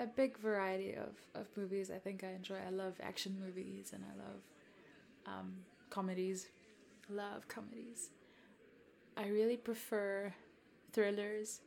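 A South African woman in her twenties whose first language is Afrikaans. She chats to a follower in a giggly, hesitant, soft-spoken roleplay and sometimes drops to a whisper. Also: mid-range voice, fairly even intonation, measured pace, creaky. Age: 20s. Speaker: content creator addressing a follower. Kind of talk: roleplay chat to the listener.

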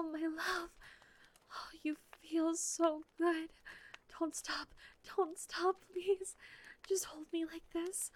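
A woman performing an intimate audio roleplay in a breathy whisper, murmuring softly and pleadingly with sighs. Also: very high voice.

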